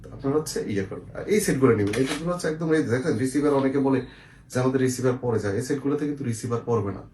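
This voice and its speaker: A man's voice: mid-range voice, racing, a little mellow. A man with a Bangladeshi accent casually presenting and explaining products as a seller, often checking in with the viewer. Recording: echoey room, faint noise, clicks.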